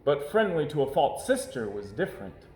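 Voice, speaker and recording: Expressive man reading a storybook aloud. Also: on the high side, normal speed, a little hiss or hum. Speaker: storybook reader. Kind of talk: expressive children's read-aloud narration. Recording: roomy, echoey indoor sound.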